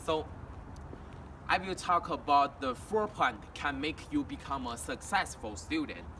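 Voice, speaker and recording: Young chinese guy, mandarin first language, halting student english, recorded outside, kinda far off. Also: fairly high pitch, expressive, sharp tone. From China. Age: young adult. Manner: halting, with frequent restarts and simple learner grammar. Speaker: international student. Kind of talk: walk-and-talk self-introduction presentation. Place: outdoors in a town, walking near a house and street. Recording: distant outdoor recording with traffic noise.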